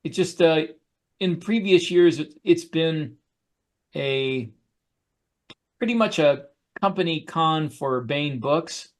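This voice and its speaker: A man with a somewhat high-pitched voice chats casually as a podcast co-host. His delivery is halting, as he often pauses to search for the next words. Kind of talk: casual conversational recollection.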